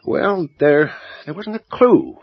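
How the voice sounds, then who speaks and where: An actor playing a folksy rural police sergeant in an audio horror drama, speaking in halting country phrases. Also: male voice, fairly high pitch, vocal fry, breathy edge, dry recording, heavily acted. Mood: grim, puzzled. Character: rural police sergeant, folksy male, haunted by old case. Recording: muffled, dull audio drama recording.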